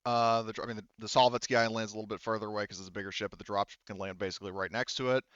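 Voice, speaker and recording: A man's voice: on the low side, fairly even intonation, rapid-fire, a bit bright, clean tone, no echo, lo-fi sound. A man narrating a tabletop game as game master, casual and improvised, swearing freely. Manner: informal, frequent swearing.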